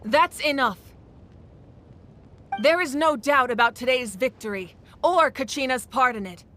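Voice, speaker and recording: Commanding, fairly high-voiced female ruler acted by a woman. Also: a bit bright, slight background noise, lightly acted. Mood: stern, resolute. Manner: authoritative, formal, measured. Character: commanding, composed female ruler of a warrior nation. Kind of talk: video game voice acting.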